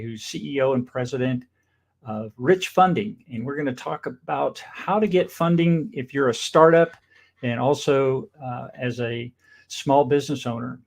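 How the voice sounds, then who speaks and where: A man's voice: mid-range voice, vocal fry. An upbeat male talk show host with a mid-range voice. He welcomes and questions his guest in a friendly way, often with enthusiastic interjections. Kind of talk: casual interview hosting.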